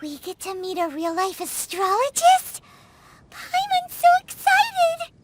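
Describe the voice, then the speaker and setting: A woman voicing a tiny, excitable, childlike fairy companion with a squeaky voice in a video game. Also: slow, slight background noise, heavily acted. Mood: excited. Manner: speaks of herself in third person, bubbly. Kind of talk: animated video game acting.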